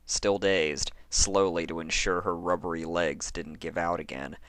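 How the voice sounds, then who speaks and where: A man with a low, forceful voice narrates a horror story. He phrases deliberately, leaving dramatic pauses between fragments. The recording is dry and close. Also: fairly even intonation, no echo. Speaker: fan fiction narrator.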